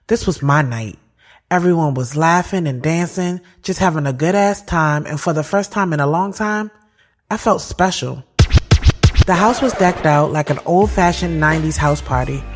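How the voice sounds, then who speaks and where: A woman playing a heartfelt, lovelorn thirty-year-old who narrates her own story in a conversational, confiding way for an audio drama. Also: low voice. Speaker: lead actress and narrator.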